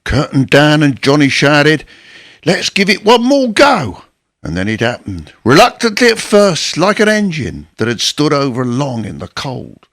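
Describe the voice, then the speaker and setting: A man acting in a radio drama as a gruff, anxious, haunted older war veteran. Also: on the high side, very animated, a little slow, fairly bright tone. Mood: relieved, warm.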